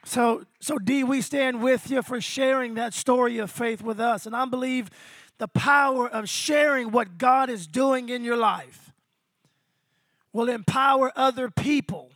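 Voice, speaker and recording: A man of fifty, preaching a sermon with a hoarse, straining voice and calling out to the congregation. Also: very high voice, dry recording, studio quality. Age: 50s. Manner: energetic, calls out to congregation. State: hoarse, losing his voice. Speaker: pastor. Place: small venue with congregation.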